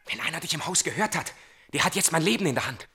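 An actor playing a grave, secretive avenging brother in a German radio tragedy, speaking solemnly and urgently in standard stage German. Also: male voice, fairly high pitch, animated, quick pace, sharp tone. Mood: tense, resolute. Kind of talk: theatrical German radio play tragedy acting.

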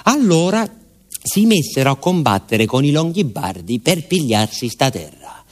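A man's voice: on the high side, sing-song, a little slow. A male actor playing an older, garrulous village postman in a radio theatre monologue, with a southern Italian accent and a rambling, folksy, naively proud way of telling stories. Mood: animated, storytelling. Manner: rambling, folksy, repeats himself, addresses listener deferentially. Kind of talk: theatrical storytelling monologue in colloquial dialect-tinged speech.

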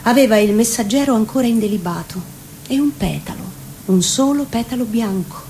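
A woman reading a literary story as theatrical narration, expressive and deliberate. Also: fairly high pitch, a little hiss or hum, rough recording. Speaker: actress reading a literary novel.

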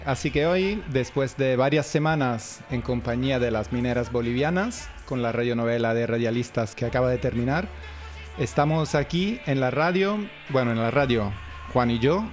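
A man from introduces a radio programme conversationally. His pitch is average for a man, and faint music plays behind him. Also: normal speed. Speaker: radio co-host. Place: radio studio.

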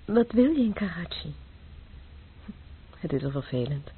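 A woman's voice: on the low side, sing-song, a little slow, slightly rough, full character voice. An actress playing a bored, disenchanted woman talking about her home city in a radio play. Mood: bored, wistful. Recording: noisy, dull, distant old radio recording.

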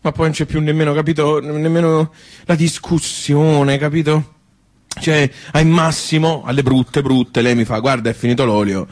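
An actor playing a glum, stubborn old husband with a Tuscan accent, rambling colloquially in a comic stage monologue. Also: male voice, fairly high pitch, steady tone, moderate pace, close to an everyday voice. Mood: glum, resigned. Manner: rambling, colloquial, punctuated with questioning tags. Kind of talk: comic theatrical monologue and dialogue.

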